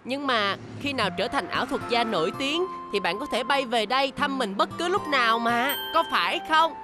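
A woman voicing a kind, earnest young talking bus in a children's cartoon dub, speaking gently and politely. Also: on the high side, expressive, moderate pace, close to an everyday voice. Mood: warm, reassuring. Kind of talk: dubbed children's cartoon acting. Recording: loud music behind the voice.

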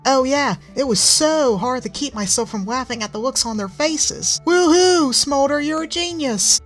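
A reader voicing a bubbly, excitable young female seapony creature in a storybook reading. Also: high voice, moderate pace, faint music behind, voice pushed up. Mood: giddy. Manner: giddy, excitable.

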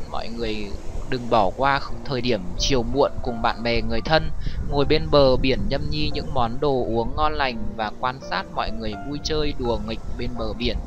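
A man reading a scripted travel narration as a vlog host, with occasional hesitations. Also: mid-range voice. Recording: music over the voice. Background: prominent background music.

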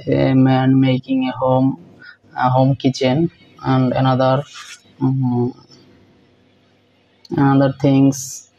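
A young man hosting a reaction video in halting, repetitive English with many fillers, filmed close on a phone in a small room. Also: medium pitch, mellow, muffled recording, a little hiss or hum. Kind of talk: casual reaction commentary.

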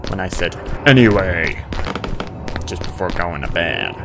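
A man with a fairly low, gravelly voice commentates casually on a horror game. His pitch swings widely as he mutters to himself and blurts out jittery exclamations. Game music plays clearly behind him. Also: very animated, measured pace. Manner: muttering asides, nervous exclamations and playful nonsense noises. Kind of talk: casual let's play commentary.

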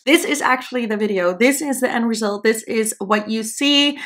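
A woman's voice: fairly high pitch, normal speed, fairly bright tone. A young woman in her twenties whose first language is Dutch, chatting casually and self-deprecatingly while doing her makeup on camera in a small indoor studio. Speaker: beauty vlogger. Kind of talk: casual makeup tutorial chat.

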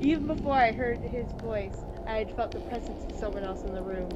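A woman's voice: on the high side, fairly quick. A playful woman reading visual novel narration aloud between bits of casual chat, sometimes stumbling over the text. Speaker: gaming video host. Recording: muffled, noisy home microphone.

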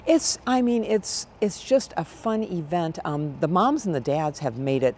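A woman in her sixties with an American accent and a low, gravelly voice, answering conversationally as an interview guest. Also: animated, unhurried, dark tone, a little hiss or hum. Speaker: event director, interview guest. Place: large exhibition hall, indoors. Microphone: lapel mic.

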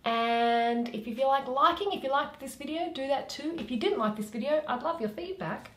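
A woman's voice: sits high, normal speed, a bit bright, roomy sound. A woman in her thirties presenting a reading in a friendly way, recorded indoors at home. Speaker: host and reader. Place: small room at home, indoors.

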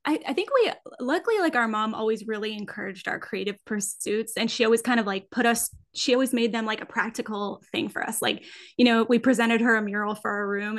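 A woman in her thirties with an American accent and a slightly high voice. She talks in a casual, animated, slangy way, like a guest chatting on a podcast. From United States (Kentucky, New York, California). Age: 30s. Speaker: podcast guest, design studio co-founder. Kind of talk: casual interview chat. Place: indoors, curtained room, on a video call. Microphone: desk microphone.

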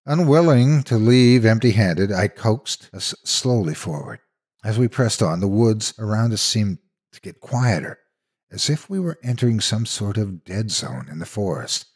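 A man narrating a scripted horror story in a dramatic, measured, suspenseful manner. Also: on the low side, slightly rough.

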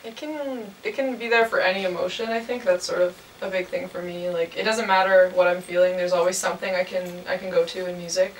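A woman's voice: on the low side, fairly even intonation, brisk, hardly any pauses. Young woman, distant mic. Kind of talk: casual interview chat. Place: furnished indoor music room studio. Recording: distant mic, faint noise.

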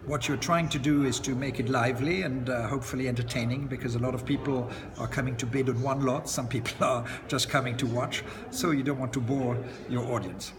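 A man's voice: medium pitch, fairly even intonation. Older man, echoey crowded lounge. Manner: chatty, many "uh" pauses, light chuckles. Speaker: auctioneer interviewee. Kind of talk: relaxed interview answers. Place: indoor lounge by large windows. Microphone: camera close to his face. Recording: long echo, loud crowd chatter behind.

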